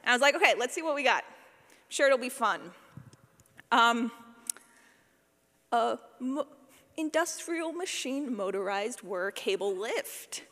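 A woman's voice: fairly high pitch, expressive, measured pace, long pauses, pushed and bright. A woman giving a casual, conversational workshop talk as a sound designer, with a wry, playful, self-deprecating manner. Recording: long hall reverb.

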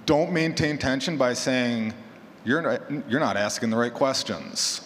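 A man's voice: mid-range voice, slow, a bit bright. A man speaking emphatically and conversationally as a podcaster in a casual panel discussion. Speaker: horror fiction podcaster on a panel. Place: large echoey room at a convention panel. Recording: echoey hall, faint background noise.

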